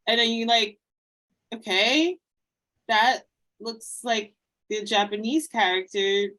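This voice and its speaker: A woman's voice: on the high side, slow, sharp tone. Rambling, laughing woman podcast host. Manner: rambling, hesitant, self-deprecating, laughs often. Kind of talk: casual interview chat.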